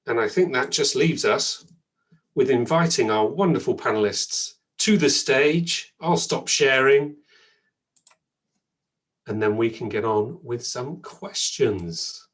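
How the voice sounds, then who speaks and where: A man's voice: medium pitch, expressive, slow, a bit bright. A man hosting an online event, chatty and thankful, with frequent ums and restarts. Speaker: event host.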